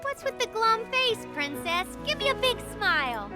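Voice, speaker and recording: A small, cheerfully bossy young female scientist from a fantasy game. The voice is extremely high and swings brightly about as she instructs. Music plays clearly behind her. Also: big pitch swings. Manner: perky, brisk, instructing. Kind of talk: video game cutscene acting.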